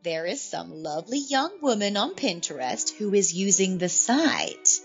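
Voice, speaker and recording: A woman playing a chatty, meddling middle-aged aunt who poses as a political expert in a comic audio drama, folksy and gently needling. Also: mid-range voice, big pitch swings, a little slow, dull audio, soft background music. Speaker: guest expert on satirical political show. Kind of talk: comedic audio drama dialogue.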